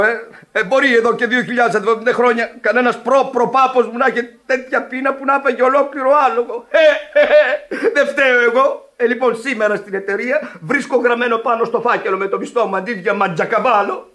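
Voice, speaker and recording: A proud but hapless Sicilian truck driver in a radio play. His voice sits extremely high for a man. He is tearful and emotional, pleading and apologizing. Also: fairly even intonation, sharp tone. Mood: aggrieved.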